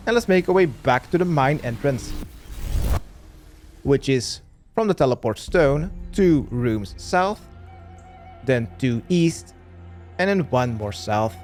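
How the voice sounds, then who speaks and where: A man's voice: mid-range voice, a little mellow. A man narrating an instructional video game walkthrough in a step-by-step manner. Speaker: gaming guide creator.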